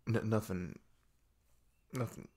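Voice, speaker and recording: A man playing a depressed, hesitant boyfriend in an intimate ASMR roleplay, speaking haltingly and trailing off. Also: on the low side, expressive, darkish tone, breathy edge, heavily acted. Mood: downcast, evasive. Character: depressed, hesitant boyfriend opening up to his partner.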